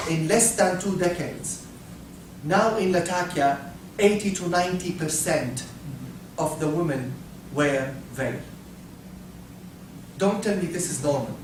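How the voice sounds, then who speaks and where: A man from Syria, giving a talk, halting and repeating words, asking the audience questions. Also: on the high side. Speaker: speaker. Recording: echoey hall, from far off, faint noise.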